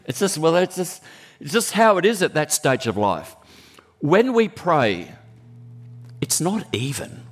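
A man preaching a sermon in a conversational, animated way, breaking into sudden high exclamations. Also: a little slow, pauses often, husky.

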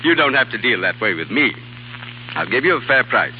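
Man acting a shrewd dealer, lively old-radio delivery. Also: medium pitch, fairly quick, close to an everyday voice. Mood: covetous. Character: shrewd, genteel male art dealer, coolly bargaining. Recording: dull 1930s radio with hiss.

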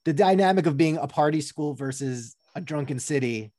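A man's voice: fairly high pitch, moderate pace, warm tone. Rambling man from Long Island. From Long Island, New York. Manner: rambling, self-interrupting, laughs often. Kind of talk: casual conversation.

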